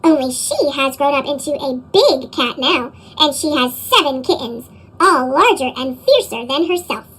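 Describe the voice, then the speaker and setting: A woman as a plucky little girl, squeaky high. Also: animated, normal speed, a bit bright. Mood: confident. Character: young girl at home, welcoming and plucky.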